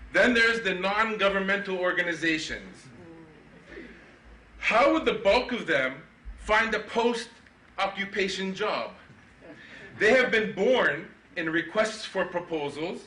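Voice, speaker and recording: A middle-aged man giving a keynote at a conference, with a sarcastic, ironic delivery and animated mock-indignant questions. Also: high-pitched. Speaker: keynote speaker. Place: conference hall. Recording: echoey hall, mic from far off.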